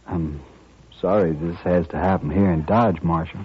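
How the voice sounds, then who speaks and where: An actor playing a quiet, courteous, resigned man bent on killing in a 1950s radio western. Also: deep voice, fairly even intonation, moderate pace, slightly rough. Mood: quiet resignation. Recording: dull vintage 1950s radio recording.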